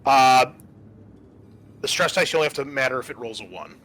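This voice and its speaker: Bright-voiced man running a tabletop game. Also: medium pitch, lively intonation, brisk. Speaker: game master. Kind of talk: narrating a tabletop horror game.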